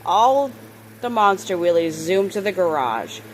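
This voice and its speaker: Woman slowly reading a children's storybook aloud. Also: fairly low pitch, sing-song, slight background noise. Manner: halting reader, stumbles and corrects words. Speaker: storybook reader. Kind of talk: children's book read-aloud.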